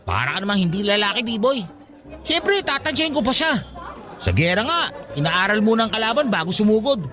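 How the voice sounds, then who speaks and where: Lovestruck joker, radio drama, very high voice, bright, playful banter. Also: male voice, sing-song, a little fast, soft background music, full character voice. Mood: mock annoyed. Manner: teasing, bantering. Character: lovestruck joking short man, fast-food cook, playful. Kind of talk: Tagalog radio drama acting.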